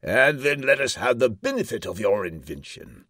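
A tyrannical storybook king, roaring out orders in a voice thrown high and swooping. He is blustering, impatient and cruelly jolly, with a rough edge. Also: very animated, moderate pace, fairly bright tone, dry recording, studio quality. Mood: jovial, commanding.

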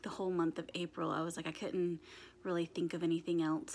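A young woman in her twenties with a raspy, hoarse voice, chatting casually to a handheld phone camera in a small bedroom, with lots of ums and restarts. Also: lowish voice, slight background noise. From United States. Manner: rambling, many ums and restarts. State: hoarse from screaming at a concert. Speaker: vlogger. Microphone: phone camera held at arm's length.

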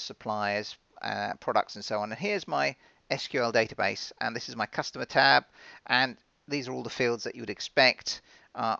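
A man presenting software in a conversational, step-by-step, informal explanatory style, recorded as a dull, lo-fi voice-over. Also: mid-range voice, gravelly, no room sound. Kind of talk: conversational software demo narration.

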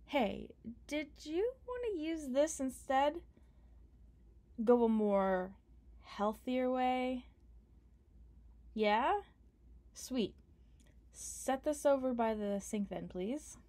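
Slow, playful young woman. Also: high voice, lively intonation, warm tone. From Canada. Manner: playful, affectionate, teasing. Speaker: ASMR performer. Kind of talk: ASMR roleplay as a partner.

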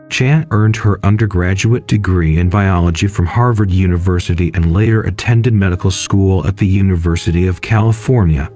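Guy with a lowish voice reading a bio script, flat and steady, soft music underneath. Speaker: narrator. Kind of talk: scripted biography narration. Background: faint music.

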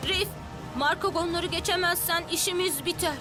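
A woman's voice: very high voice, fairly even intonation, moderate pace. A woman voicing a brave young boy ice warrior who talks firmly and urges others on in a children's action cartoon. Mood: encouraging. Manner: firm, commanding, encouraging. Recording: music under the voice.

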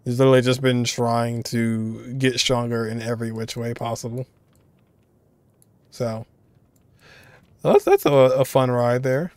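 A man's voice: medium pitch, fairly even intonation, measured pace, long pauses, dry recording. Casual young man with a dry, close sound. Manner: informal, musing, thinking aloud. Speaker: reaction video host. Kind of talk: casual game reaction commentary.

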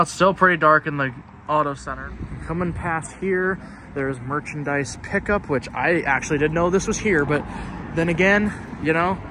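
A young man giving casual, rambling walk-through commentary as an urban explorer vlogger. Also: a little high. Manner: chatty, rambling asides. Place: outdoors by an empty store building. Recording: outdoor noise on a handheld mic.

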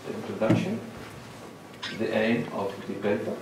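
Lebanese guy giving a conference talk, kinda low voice, big echoey hall, mic far off. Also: very animated, heavy background noise. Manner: measured, lists points with pauses. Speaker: conference speaker. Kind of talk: academic presentation. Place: large lecture hall. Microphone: lectern microphone, far camera.

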